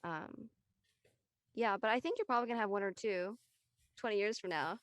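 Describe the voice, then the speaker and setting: A woman's voice: mid-range voice, moderate pace, no room sound. A woman chatting casually on a panel, giving reflective advice into a close microphone. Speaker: panelist in an online discussion.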